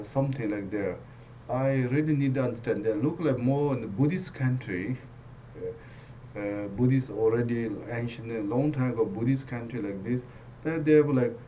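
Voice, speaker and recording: A man with a Tibetan accent giving a Buddhist teaching in simple, broken English, repeating phrases and joking gently. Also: medium pitch, a little hiss or hum, rough recording. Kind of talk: dharma teaching talk.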